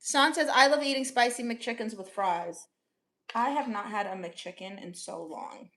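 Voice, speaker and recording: A woman's voice: fairly high pitch, measured pace, fairly bright tone. A young woman from Orlando, Florida, chatting casually with viewers as livestream host, upbeat and laughing often. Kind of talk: casual chat reading viewer comments.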